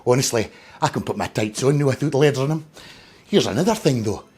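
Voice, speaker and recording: Bloke doing a cheeky panto dame, husky voice going up and down loads, broad doric scottish, gossipy mum type. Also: male voice, mid-range voice, expressive, fast talker, a little mellow. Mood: cheery, gossipy. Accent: North-East Scottish Doric. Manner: gossipy, exaggerated. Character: chatty, cheeky middle-aged pantomime dame, a widowed mother. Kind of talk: comic pantomime monologue to the audience.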